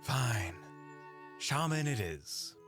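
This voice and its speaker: A man's voice: medium pitch, big pitch swings, extremely slow, fairly bright tone, faint music behind, heavily acted. A man playing a vain, dry-witted mage adventurer in a fantasy audio roleplay, slightly haughty. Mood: dry, impatient.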